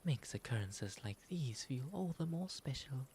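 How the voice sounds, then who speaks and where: A man voicing a gentle, refined owl demon in an ASMR roleplay, with soft, breathy, soothing, formally polite speech. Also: medium pitch, expressive. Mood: fond, courteous. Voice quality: soft, breathy, often whispery. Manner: soothing, genteel, unhurried formal phrasing. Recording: noticeable ambient background noise.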